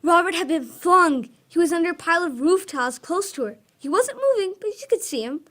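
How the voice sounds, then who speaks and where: A woman's voice: extremely high pitch, animated, brisk, a bit bright. A young girl performing in a school radio drama, reading her script earnestly. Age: child, about 10.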